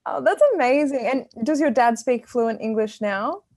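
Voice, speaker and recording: A woman's voice: on the high side, moderate pace, a little mellow, dry recording, studio quality. A young woman interviewing, whose first language is Sinhalese, reacting with effusive enthusiasm in casual conversation.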